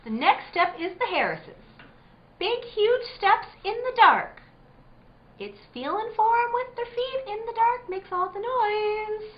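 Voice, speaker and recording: A woman's voice: very high-pitched, animated, measured pace. An actress playing a fey, eerie country girl in a spooky radio drama, speaking in dreamy, ominous tones. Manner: dreamy, ominous rustic storytelling. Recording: dull lo-fi audio in echoey room.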